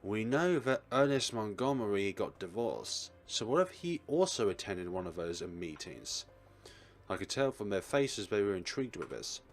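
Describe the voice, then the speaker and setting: Low-voiced man reading aloud, expressively. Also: sing-song, moderate pace. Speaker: let's player reading a visual novel aloud. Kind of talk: expressive read-aloud narration. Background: game music.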